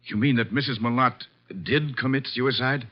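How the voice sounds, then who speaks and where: An actor in a 1950s radio drama playing a shaken, disbelieving husband caught up in a murder case. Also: male voice, medium pitch, fairly even intonation, normal speed, natural voice. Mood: shocked, subdued. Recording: dull vintage 1950s radio recording.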